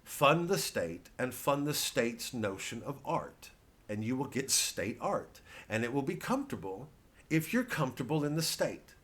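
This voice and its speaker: Satire role: man as the smug voice of the state, slow, deliberate, declamatory. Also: mid-range voice, big pitch swings, fairly bright tone, pitched above the performer's own voice. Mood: smug, menacing. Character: smug state personified, demanding funds for art.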